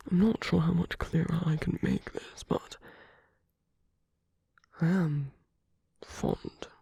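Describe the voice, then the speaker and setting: A woman playing a flirtatious villain in an intimate ASMR roleplay, teasing and tender, speaking softly in a coaxing half-whisper. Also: low voice, fairly even intonation, slow, creaky, husky, no room sound, heavily acted. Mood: tender, earnest.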